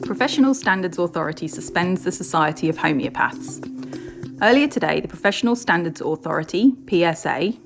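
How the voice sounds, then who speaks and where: An Australian woman reads a scripted report in a formal, measured manner. Her voice sits a little low, with music playing behind her. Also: lively intonation, creaky. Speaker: guest news reader. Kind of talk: scripted news reading.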